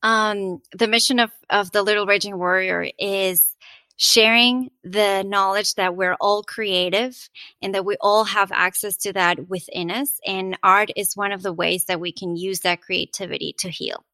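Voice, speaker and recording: A woman's voice: mid-range voice, steady tone, unhurried, sharp tone, no echo. A woman from Venezuela, an architect and artist, speaking as a podcast guest in an earnest, reflective way. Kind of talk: interview conversation.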